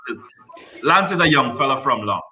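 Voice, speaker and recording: A man's voice: mid-range voice, lively intonation, normal speed, pushed and bright, highs cut off. A man from Guyana with a Guyanese accent, a journalist talking as a guest on a casual panel, chatty and joking with many restarts.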